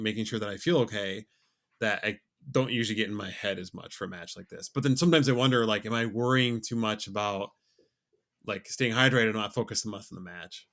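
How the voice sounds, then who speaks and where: Low-voiced man chatting casually. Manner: relaxed, rambling with fillers and restarts. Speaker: podcast co-host. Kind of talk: casual chat.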